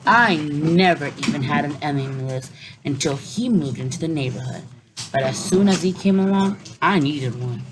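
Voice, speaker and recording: A woman teacher reading a children's picture book aloud, expressive, with playful asides to young listeners. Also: low-pitched, moderate pace. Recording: noisy recording with clicks.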